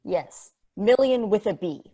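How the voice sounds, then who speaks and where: A woman's voice: medium pitch, animated, a little slow, mellow. Woman acting a sardonic, rambling, sweary female probe. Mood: exasperated. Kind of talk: conversational acting in a script table read.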